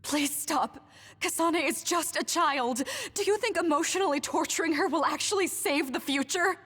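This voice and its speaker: An actress playing a gentle, protective mother figure, earnest and tender, in an English video game dub. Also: high voice, normal speed, in a large hall. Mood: pleading. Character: gentle, protective motherly woman, pleading and self-sacrificing.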